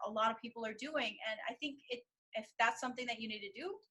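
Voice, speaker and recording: A young woman hosting an interview, chatty and animated, sharing her own student experiences. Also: on the high side, quick pace. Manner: chatty, lots of fillers, animated. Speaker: interview host, medical student. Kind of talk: casual interview conversation.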